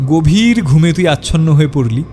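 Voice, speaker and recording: A male storyteller reads a horror tale with a fairly high, very animated voice. His delivery is dramatic and suspenseful, set over background music. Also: moderate pace. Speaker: story narrator. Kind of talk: dramatic scripted horror narration. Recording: music behind the voice.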